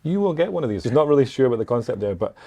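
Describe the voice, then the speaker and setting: A man's voice: medium pitch, sing-song, rapid-fire, mellow, slightly rough. A man, co-hosting a casual chat and talking in a chatty, self-deprecating way that often trails off mid-sentence. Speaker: co-host.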